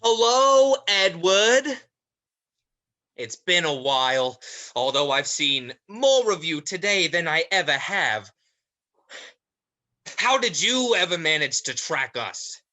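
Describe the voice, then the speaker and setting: Woman playing a sardonic, sharp, slow-talking villain creature. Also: fairly low pitch, very animated, full character voice. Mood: mocking. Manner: theatrical, scornful, commanding. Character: sardonic, menacing ringleader creature tormenting a teenage boy. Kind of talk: livestreamed stage play reading.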